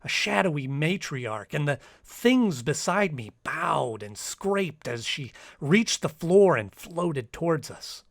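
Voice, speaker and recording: A man acting a nervous poet in a radio drama, narrating an eerie ordeal in a halting, stammering way. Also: fairly high pitch, sing-song, creaky, no echo, studio quality, full character voice. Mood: horrified awe. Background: music.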